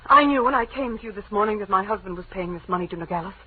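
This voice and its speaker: An actress playing a pleading, evasive wife and femme fatale in a 1940s radio detective drama, with a breathy, halting, emotional delivery. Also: female voice, mid-range voice, animated, no echo. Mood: desperate pleading. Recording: muffled, band-limited old radio recording.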